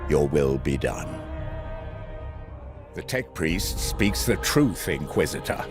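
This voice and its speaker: An actor playing a loyal, stern space knight officer in a sci-fi game, with formal, dramatic delivery. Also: male voice, rumbling low, sing-song, takes it slowly, over music, heavily acted. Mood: stern concern.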